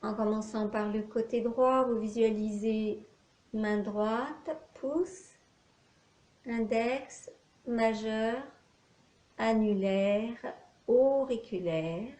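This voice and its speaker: A woman leading a guided yoga nidra relaxation as an instructor, speaking in short instructional phrases with long pauses. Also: on the high side, a little mellow. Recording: echoey room, faint background noise.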